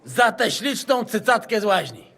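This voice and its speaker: Very high, bright, rowdy Polish soldier. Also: male voice, big pitch swings, normal speed, gravelly, heavily acted. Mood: merry. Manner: brash, exuberant. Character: rowdy, foul-mouthed Polish drinking soldier, hot-tempered and jovial. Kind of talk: video game cutscene acting.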